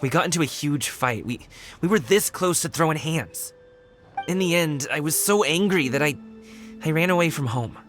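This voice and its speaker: Earnest, friendly young dancer. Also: male voice, fairly high pitch, expressive, normal speed, fairly bright tone, soft background music. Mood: wistful, candid. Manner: breezy, casual, sincere. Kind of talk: anime game voice acting.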